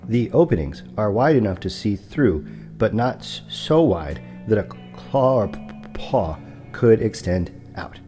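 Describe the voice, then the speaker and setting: An actor playing a meek, courteous, big property broker who stammers on his consonants and speaks in a formal, halting way in an audio drama. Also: on the low side, big pitch swings, mellow, soft background music. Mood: apologetic, nervous. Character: large muscular male property broker, meek, anxious, courteous.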